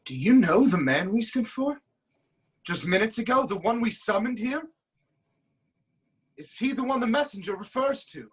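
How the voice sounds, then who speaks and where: A woman playing a proud, stubborn king of ancient Thebes, declaiming deliberately in a theatrical reading. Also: fairly high pitch, big pitch swings, breathy edge. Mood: commanding. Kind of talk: theatrical staged reading of Greek tragedy.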